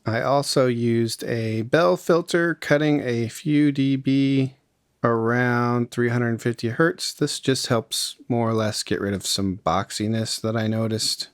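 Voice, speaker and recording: A man narrating a casual, step-by-step music software tutorial in an informal, explanatory way. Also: medium pitch, slow pace, dry recording, clean studio sound. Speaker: music production tutorial host.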